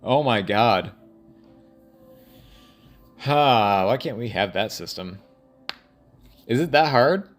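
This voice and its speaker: A man thinking aloud in a rambling, casual audio log, halting and often trailing off mid-thought. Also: mid-range voice, lively intonation, faint music behind. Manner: casual, profane.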